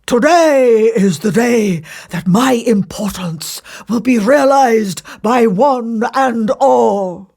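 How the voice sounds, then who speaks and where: A woman's voice: fairly high pitch, slow, vocal fry, hardly any pauses, a little mellow, exaggerated voice. A woman voicing a pompous, vain male emperor in a fairy tale, with grand, boastful delivery.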